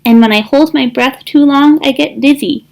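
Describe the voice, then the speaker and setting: A woman voicing a gentle, loyal young male duck in a storybook, with an earnest, expressive manner. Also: sits high, moderate pace, vocal fry. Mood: sincere.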